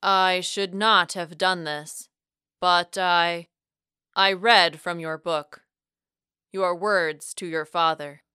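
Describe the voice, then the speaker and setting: A woman voicing a reserved, sheepish but earnest man who speaks deliberately and formally in audiobook dialogue. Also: medium pitch, bright, forceful tone, smooth, no room sound, studio quality. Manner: deliberate, formal wording without contractions. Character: reserved, sheepish, earnest man pushing away his love.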